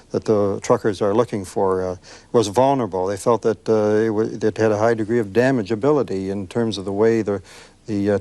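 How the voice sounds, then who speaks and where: An American man in a product development panel discussion, talking in measured, halting corporate phrases. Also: on the low side, a little mellow, no room sound.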